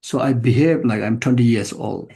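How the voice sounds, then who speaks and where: An older man gives a dharma talk in a gravelly, mellow voice. He speaks in halting fragments, circling back with repeated words and restarted phrases. His tone stays plain and reflective, with a self-mocking edge. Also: mid-range voice. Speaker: Buddhist teacher. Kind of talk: dharma teaching to an audience.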